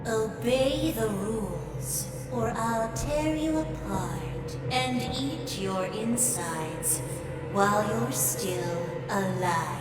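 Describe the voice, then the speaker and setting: A woman's voice: sits high, very animated, slow, full character voice. A woman voicing a sugary, sadistic monster mother in a video game, with a theatrical, mock-sweet, sing-song delivery. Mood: sadistic threat. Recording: roomy echo, distant, loud music behind.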